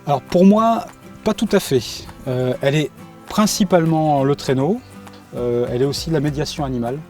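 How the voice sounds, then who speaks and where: Middle-aged male interviewee, mellow, hesitant delivery, recorded slightly off mic with music underneath. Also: fairly high pitch, moderate pace. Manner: hesitant, repeats words. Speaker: interviewee, professional sled-dog musher. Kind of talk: casual documentary interview.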